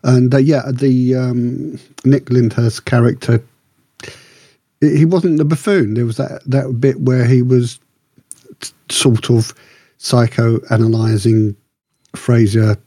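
A man with a mellow, slightly rough-edged husky voice talks casually as a podcast co-host. He rambles and restarts, circling round his point. The sound is dry and close. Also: mid-range voice, steady tone, no echo. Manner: rambling, halting, circles back. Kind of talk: casual discussion of TV shows.

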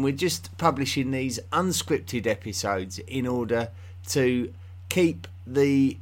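Man, English accent, podcast host, unscripted solo talk, mid-pitched voice, rambling with fillers. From England. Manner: rambling, with frequent fillers and restarts.

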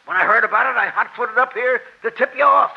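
An actor playing a crude, eager American gangster henchman with a gravelly, sharp voice and slangy thug talk, in old radio style. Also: very high-pitched, fast. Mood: agitated. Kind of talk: 1940s radio acting. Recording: muffled old radio recording.

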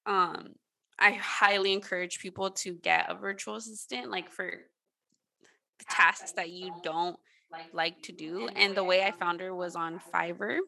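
Woman, bright voice, casual co-host chat, loose rambling with fillers. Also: lowish voice, steady tone, unhurried, vocal fry. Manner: rambling, filler-heavy with many "like"s. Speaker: podcast co-host.

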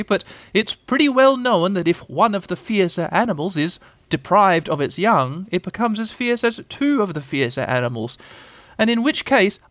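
A man's voice: high-pitched, a bit bright, no echo, pitched above the performer's own voice. A man voicing a small, timid, anxious piglet who frets nervously, as in a children's storybook. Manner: nervous, fretful.

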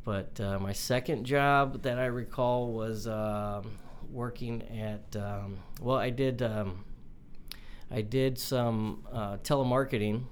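A man in his forties with a young-sounding voice, answering interview questions in a halting way with many fillers and restarts. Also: medium pitch, steady tone, a little hiss or hum. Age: 40s. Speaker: mortgage company owner.

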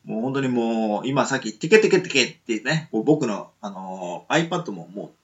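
A man's voice: medium pitch, lively intonation, moderate pace, highs cut off. A man hosting a casual talk show, speaking politely in a rambling, hedging way. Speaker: radio show host.

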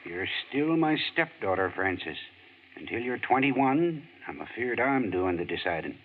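A man's voice: on the low side, very animated, moderate pace. A man playing a folksy rancher stepfather with a coaxing drawl in a 1940s radio drama. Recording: tinny, faintly hissy radio broadcast.

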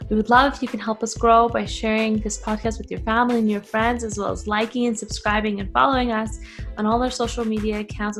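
Chatty woman podcast host with vocal fry. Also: medium pitch. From Afghanistan. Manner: chatty, reflective, many fillers and restarts. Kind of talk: casual podcast monologue. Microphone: camera video, facing the screen.

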